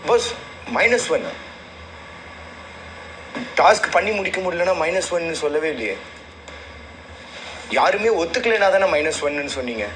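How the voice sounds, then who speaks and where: A man's voice: on the high side, animated, moderate pace, pauses often, fairly bright tone. A man, a reality show contestant explaining and counting down a timed game task. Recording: clearly noisy room, ambient sound.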